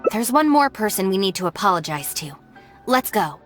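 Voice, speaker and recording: A woman voicing a sensible, composed young gang deputy in a game, polite and measured with dry incredulity. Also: mid-range voice, very animated, soft background music, natural voice. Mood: calm, polite. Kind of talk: anime-style game dialogue.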